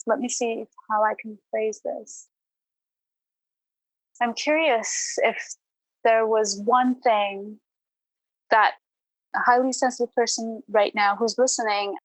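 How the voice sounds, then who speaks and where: A woman's voice: medium pitch, no room sound, rough recording. Earnest, reflective woman in a dry recording. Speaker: podcast guest. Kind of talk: reflective conversational interview.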